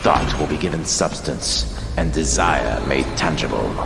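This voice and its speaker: An actor playing a grave, insistent mystic counsellor in a game cutscene, solemn and portentous. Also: male voice, extremely low pitch, lively intonation, measured pace, vocal fry, over loud music, heavily acted. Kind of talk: dramatic game cutscene acting. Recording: long echoing reverb, loud background noise.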